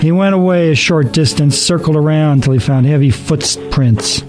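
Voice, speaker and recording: A man reading a horror story as a dramatic narrator, deliberate and suspenseful. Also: on the high side. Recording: narration over background music.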